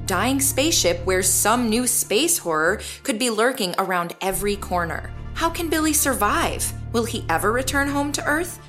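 A woman's voice: on the high side, a bit bright. A woman hosting and narrating a scripted podcast in a conversational way. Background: music.

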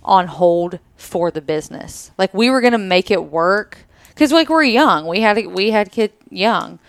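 A woman's voice: medium pitch, expressive, a little slow, dry recording. A woman in her twenties talking candidly on a podcast at a trade expo, thinking aloud with hedges. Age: 20s. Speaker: podcast co-host, married to co-host. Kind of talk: casual podcast conversation.

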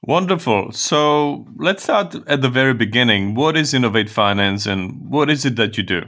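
A man hosting a podcast interview, speaking with hesitant pauses and fillers. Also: mid-range voice, lively intonation, fairly bright tone. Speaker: podcast host.

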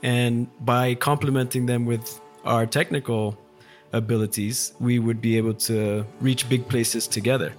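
A man's voice: medium pitch, a little slow, soft background music. Reflective male CEO from Ethiopia. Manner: relaxed, reflective, with occasional fillers. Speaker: company CEO, guest. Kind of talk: conversational storytelling.

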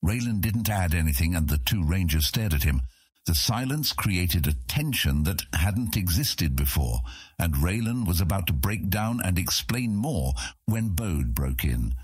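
A man's voice: low-pitched, expressive, unhurried, breathy edge, dry recording, clean studio sound. A man narrating a scripted fantasy audiobook as its storyteller.

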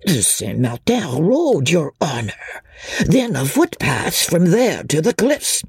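A man acts out an obsequious old peddler for an audiobook, servile and sly. The voice is pitched up from the reader's own, very husky and breathy, with some creak. He speaks deliberately and humbly, like one angling for coins. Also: huge pitch swings, dry recording, heavily acted. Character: obsequious, cunning, greedy old male peddler. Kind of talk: audiobook character voice.

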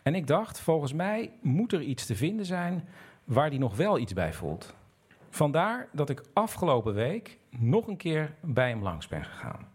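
A man's voice: on the high side, big pitch swings, measured pace, a little mellow, breathy edge. A man, talking casually and telling a personal story as host and narrator. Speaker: host and narrator, interviewing his father.

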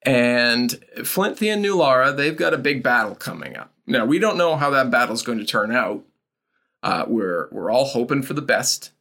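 A man's voice: medium pitch, expressive, moderate pace, pushed and bright. A man hosting an actual play podcast as dungeon master, easygoing and joking as he leads the table. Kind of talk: casual podcast chat, hosting a tabletop game.

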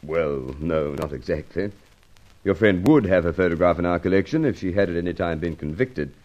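An actor playing a bluff, genial but sceptical police detective in an old radio serial, hearty, blustering and dryly teasing. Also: male voice, low-pitched, fairly quick, darkish tone, natural voice. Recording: dull lo-fi old radio recording.

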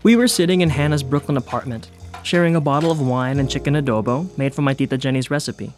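A man's voice: medium pitch, fast, darkish tone, soft background music. A man narrating his own documentary story in a wry, self-deprecating way. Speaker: host and narrator.

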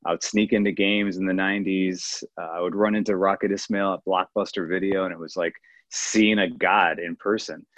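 A man's voice: low-pitched, steady tone, normal speed, fairly bright tone, dry recording. A man in his thirties from the American Midwest, a guest sportswriter chatting in a friendly, enthusiastic way. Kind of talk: casual interview chat. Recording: dry, somewhat dull remote audio.

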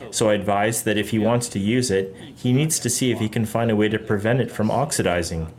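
A man's voice: fairly low pitch, fairly quick. A man giving a plain, advisory interview voice-over. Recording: voice-over with loud music behind.

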